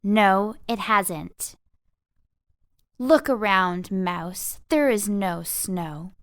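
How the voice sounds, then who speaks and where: A woman's voice: mid-range voice, extremely slow, a bit bright, clean tone, no echo, clean studio sound. A woman voicing a hardworking, grouchy female mouse in a children's storybook, solemn, scolding and curt.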